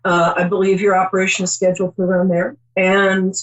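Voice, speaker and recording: A woman's voice: medium pitch, completely flat delivery, fairly quick, muffled recording, slight background noise. Chatty, reassuring psychic woman host. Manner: reassuring, chatty, rambling with many ums. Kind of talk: call-in psychic reading.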